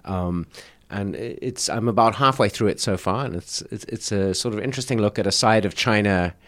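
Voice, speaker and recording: A man co-hosting a podcast, explaining things casually in a halting, thoughtful way. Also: on the low side, a bit bright. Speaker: podcast co-host. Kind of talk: casual panel chat.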